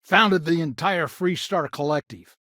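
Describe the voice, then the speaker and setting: An actor voicing a proud, stubborn retired patriarch of a frontier founding family in a video game, gruff and folksy, with a gravelly, husky voice. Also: male voice, fairly high pitch, measured pace, pushed and bright, no echo, clean studio sound. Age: older. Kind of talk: video game character dialogue.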